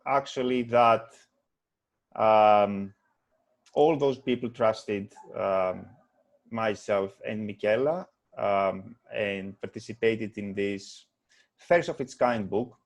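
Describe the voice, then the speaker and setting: A man introducing an online book launch, halting and full of fillers. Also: mid-range voice, darkish tone. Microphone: video call.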